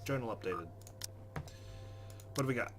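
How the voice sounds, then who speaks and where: A man's voice: medium pitch, expressive, moderate pace, background noise. A man hosting a let's play, reading game narration aloud and adding casual commentary.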